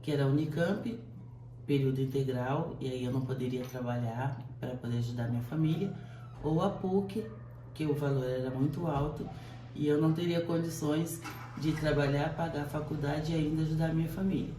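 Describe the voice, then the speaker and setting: Mellow man, distant in an echoey room. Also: fairly high pitch, brisk, a little hiss or hum. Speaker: language and literature teacher. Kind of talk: documentary interview. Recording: roomy and distant from the mic.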